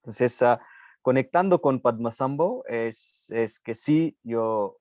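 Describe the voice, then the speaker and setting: An unhurried man from India, speaking in a measured, halting way and often stopping to find the word he needs. His voice comes through a dull, muffled, low-fidelity recording with no room echo at all. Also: animated, darkish tone. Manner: halting, searching for words, frequent pauses. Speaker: Buddhist practitioner guest. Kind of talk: reflective spiritual conversation.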